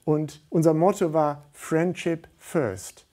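A middle-aged or older man is a guest in a studio interview. His timbre is dark and relaxed as he gives his answers as a volunteer organiser. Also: fairly high pitch, slow pace.

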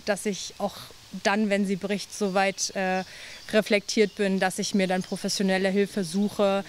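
Woman, interviewee, measured, hesitant, flat delivery, hissy recording. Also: mid-range voice. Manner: calm, measured, hesitant fillers. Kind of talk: reflective interview answers.

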